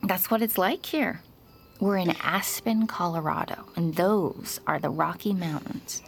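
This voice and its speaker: An actress playing a tender, reassuring mother talking gently to her small child in a naturalistic audio drama. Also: fairly low pitch, a little slow, creaky. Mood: gentle, reassuring.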